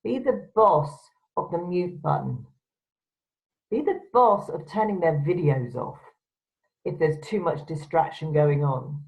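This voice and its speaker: A woman's voice: deep voice, lively intonation, unhurried. A woman presenter giving instructional advice to camera in a firm, direct, emphatic way. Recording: dull, lo-fi sound.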